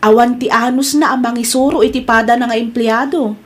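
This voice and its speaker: A woman's voice: on the high side, steady tone, a little fast, natural voice. A Filipino woman playing an indignant, earnest employee who complains on behalf of a co-worker in an audio drama. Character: female employee, indignant tattling sympathetic co-worker. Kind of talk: acted audio comedy-drama dialogue.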